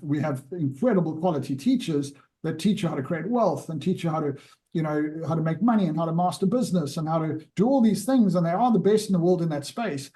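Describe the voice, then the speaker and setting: A man's voice: fairly high pitch, warm tone. A man hosting an interview, enthusiastic and rambling, with lots of restarts. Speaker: interview host.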